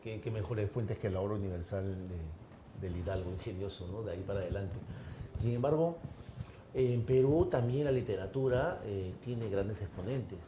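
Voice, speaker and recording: A man's voice: fairly low pitch, moderate pace, mellow. A man interviewing a writer, asking long, rambling questions full of tag questions. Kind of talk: conversational interview. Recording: roomy, with clear background noise.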